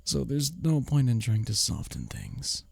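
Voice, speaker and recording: Male actor as taunting office rival, mellow dark husky voice, close dry sound, sardonic, flirty bickering, roleplay audio drama. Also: mid-range voice, sing-song, measured pace, no room sound, exaggerated voice. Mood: exasperated, sarcastic. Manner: sardonic, teasing, needling, with breathy chuckles. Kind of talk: intimate roleplay audio drama, spoken to the listener.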